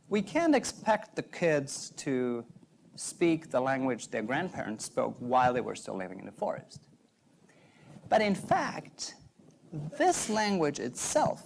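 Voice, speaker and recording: A young adult man, a native German speaker, giving an earnest prepared talk in measured phrases. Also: fairly high pitch, vocal fry, slight background noise. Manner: earnest, measured, pauses between short phrases. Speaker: doctoral researcher giving a talk.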